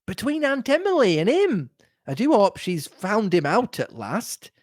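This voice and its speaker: Man doing an eager, high-voiced gossipy young woman. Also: wildly swooping pitch, measured pace, no room sound, studio quality, exaggerated voice.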